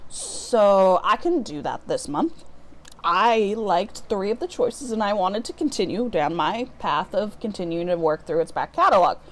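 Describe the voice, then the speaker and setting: A woman's voice: mid-range voice, animated, unhurried. A woman chatting casually to viewers as a book vlogger, talking in an informal, self-deprecating way.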